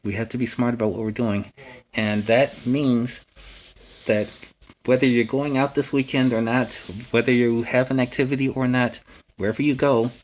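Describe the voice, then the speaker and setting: A man's voice: mid-range voice, fairly even intonation, normal speed, a little mellow, poor audio. An American man hosting a story time for young viewers as a friendly superhero, speaking in an earnest, instructive way with simple phrasing. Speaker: storytime show host in character as a superhero.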